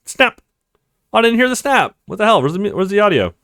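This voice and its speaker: A man's voice: high voice, big pitch swings, a little fast, fairly bright tone, no room sound, clean studio sound. A man playing a visual novel as a let's play host, reacting casually as he goes.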